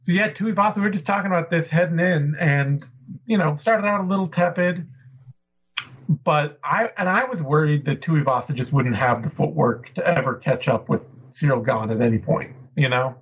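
A man's voice: on the high side, normal speed, breathy edge. A man hosting a casual post-fight podcast, upbeat and chatty as he breaks down the fights. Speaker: podcast host. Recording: dull lo-fi remote audio.

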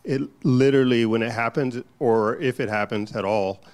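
A man in a church group sharing a personal reflection, talking haltingly as he searches for words. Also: mid-range voice, a little mellow. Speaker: congregant.

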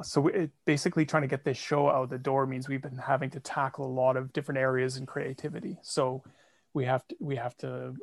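A man's voice: medium pitch, vocal fry, dry recording. A man who is a musician and podcast guest, explaining steadily in casual chat from his home podcasting area.

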